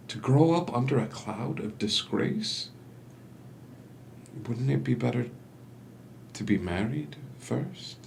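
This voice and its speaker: An actor playing a calculating, manipulative doctor and lover in a theatrical period drama table read, coaxing with deliberate pauses. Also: male voice, mid-range voice, gravelly, a little mellow, a little hiss or hum.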